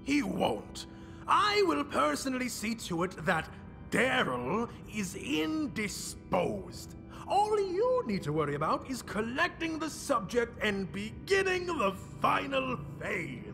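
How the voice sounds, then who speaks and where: A man playing a scheming, menacing villain in a video game, with grandiose, sneering, drawn-out delivery. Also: extremely high pitch, huge pitch swings, gravelly, exaggerated voice. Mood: smug. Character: theatrical scheming villain, menacing and world-weary. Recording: music under the voice. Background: prominent music.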